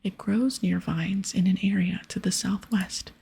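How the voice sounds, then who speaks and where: Woman whispering as a breathy, solemn village shaman. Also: medium pitch, fairly even intonation, measured pace, dark tone, exaggerated voice. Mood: solemn, welcoming. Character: solemn, courteous male village shaman in a fantasy game. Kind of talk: whispered ASMR reading of game dialogue.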